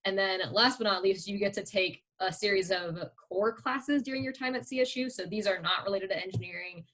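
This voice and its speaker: A woman's voice: medium pitch, a little fast, a bit bright. A young woman student giving a casual overview of her program on a video call. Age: young adult. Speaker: student presenter. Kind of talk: informal presentation.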